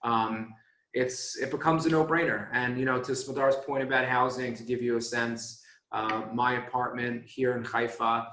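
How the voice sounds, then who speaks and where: A man's voice: mid-range voice, fairly even intonation, normal speed, a bit bright, echoey room, slight background noise. A man talking casually as a guest on a video call, with many fillers. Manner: conversational, filler-heavy. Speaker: panel guest.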